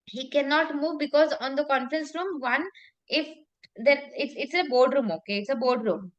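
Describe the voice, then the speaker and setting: A woman's voice: high voice, moderate pace, muffled recording. A woman with an Indian accent coaching informally in public speaking, emphatic and repetitive, often checking in with "okay?". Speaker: public speaking coach and talk show host. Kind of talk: informal coaching conversation with anecdotes.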